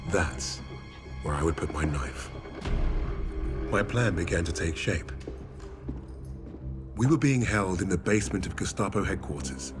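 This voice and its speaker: Brief: male actor as a deep-voiced, cool sergeant narrator, game music underneath. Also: close to an everyday voice. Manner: cool, dry narration with rough swearing. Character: hardened male British paratrooper sergeant. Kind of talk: video game cutscene narration. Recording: game cutscene with music behind.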